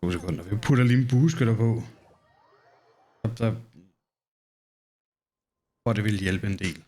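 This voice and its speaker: A man's voice: lowish voice, normal speed, darkish tone. A man from Denmark chatting casually as he streams a game, in an offhand, fragmented way. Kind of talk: casual livestream chat over gameplay.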